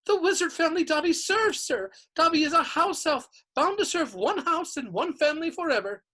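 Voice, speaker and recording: A woman reading a story aloud and voicing a small, earnest male house elf in a high-pitched voice, humble and over-polite, calling everyone sir. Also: animated, normal speed, fairly bright tone.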